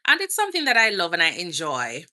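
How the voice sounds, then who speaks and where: A woman's voice: on the low side, huge pitch swings, quick pace, sharp tone. A woman from Jamaica with a Jamaican accent, chatting casually on a livestream from outdoors and greeting viewers by name. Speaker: vlogger and nurse.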